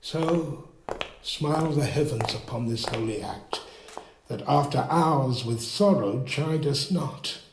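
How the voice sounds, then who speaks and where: A man playing a grave, fatherly friar who gives measured cautions in verse, in a Shakespeare audio drama. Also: medium pitch, background noise. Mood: solemn warning. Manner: solemn, deliberate, measured verse delivery. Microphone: phone, recorded at home. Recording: echoey room, home phone recording.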